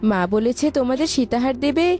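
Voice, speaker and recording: A woman acting a headstrong young bride-to-be in an animated moral story, insistent and petulant. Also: sits high, quick pace, lightly acted. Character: headstrong young bride-to-be, a complaining sister-in-law. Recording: faint music behind.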